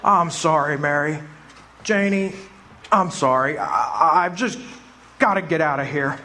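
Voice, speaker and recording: A man's voice: fairly high pitch, measured pace, sharp tone. An actor playing a desperate, distraught family man in a live radio play. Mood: agitated. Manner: pleading, agitated. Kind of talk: live radio drama acting.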